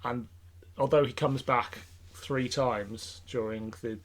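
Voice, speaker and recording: Male podcast host, mid-pitched voice, conversational and a bit rambling, fan-to-fan delivery with a jokey edge. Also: steady tone, slow pace, a little hiss or hum. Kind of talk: casual chat about a TV show and its fandom.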